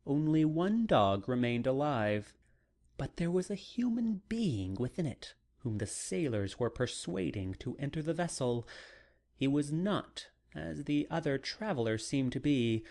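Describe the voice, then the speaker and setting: Literary narrator: measured dramatic reading, very animated intonation, slow tempo. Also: medium pitch. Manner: measured, deliberate phrasing. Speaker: audiobook narrator.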